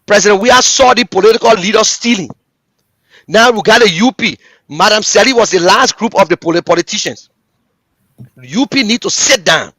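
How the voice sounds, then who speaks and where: A man with a Liberian English accent speaks in a high, ringing voice. He drives his political points home with repetition and direct questions to his listeners. The sound is close and dry, with no room echo. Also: lively intonation, moderate pace, fairly bright tone. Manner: impassioned, repetitive, rhetorical address to listeners. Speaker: talk show host. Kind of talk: political commentary.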